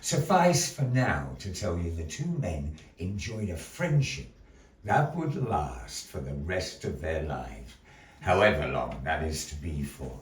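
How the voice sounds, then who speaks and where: A man's voice: a bass voice, big pitch swings, unhurried. A man presenting a puppet show as a grandiose, old-fashioned showman, telling stories theatrically to a live audience. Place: gallery live performance, recorded from a distance. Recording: roomy, distant mic with background noise.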